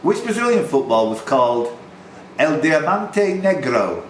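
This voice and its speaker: A man's voice: a little high, very animated, unhurried. A man hosting a pub quiz, reading questions aloud with chatty, teasing, jokey asides. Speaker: quiz host, question reader. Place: tavern quiz room. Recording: roomy, faintly noisy live venue recording.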